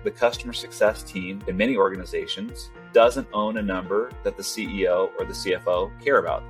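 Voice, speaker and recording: A man who grew up in Venezuela and California, first language Spanish, talking as a guest in a conversational interview, rambling with many fillers and restarts. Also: low voice. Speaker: guest, startup CEO.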